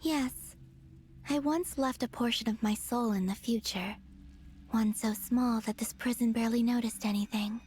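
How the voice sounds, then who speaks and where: Soft, higher-voiced young moon goddess, acted by a woman. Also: moderate pace, a little hiss or hum, close to an everyday voice. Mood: calm, certain. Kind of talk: video game voice acting.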